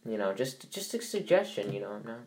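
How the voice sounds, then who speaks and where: A man's voice: on the low side, very animated, brisk, darkish tone. A young man hosting a casual sports podcast, sounding stuffy from allergies and rambling with many fillers and restarts. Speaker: podcast host.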